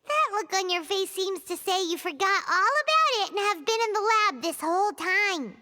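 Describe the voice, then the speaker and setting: A woman's voice: very high-pitched, animated, moderate pace, sharp tone, a little hiss or hum, heavily acted. A woman playing a tiny, cheeky, chattering fairy companion in a video game, blunt and teasing. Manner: blunt, teasing, refers to herself by name. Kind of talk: video game character dialogue. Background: faint music.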